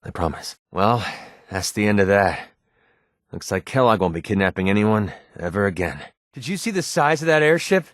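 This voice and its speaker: Young mercenary, cocky, wary, sardonic, slightly deep voice, casual game banter, very dry studio sound. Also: male voice, lively intonation, moderate pace, a bit bright, no room sound. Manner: sardonic, casual, colloquial delivery. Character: wry young male mercenary, cocky, wary, protective father. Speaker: video game companion character. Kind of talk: video game voice acting, casual banter lines.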